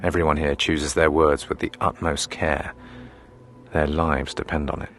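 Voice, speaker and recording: A man's voice: rumbling low, level tone, normal speed, fairly bright tone, slight background noise. An actor playing a palace guard master who narrates his tale in a radio drama, dry, sardonic and confiding. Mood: wry, confiding.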